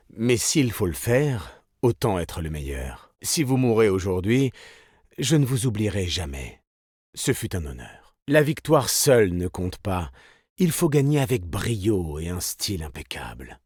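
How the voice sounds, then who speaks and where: An actor playing a suave, vain, courteous video game agent with a polished, smug delivery of short tactical lines and taunts. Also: male voice, on the low side, slightly rough, no echo, clean studio sound, exaggerated voice. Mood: suave, composed. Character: suave, vain male agent, polite and self-assured.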